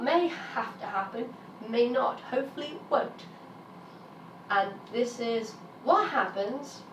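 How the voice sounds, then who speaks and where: A British woman in her early thirties talks candidly to camera, her voice slightly raspy and pitched a bit high. She sounds a step back from the mic, in a roomy space with a faint hum of ambience behind her. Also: recorded from a distance. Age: 30s. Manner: frank, emphatic, swears when frustrated. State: raspy while getting over an illness. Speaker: vlogger. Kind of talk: candid personal vlog monologue.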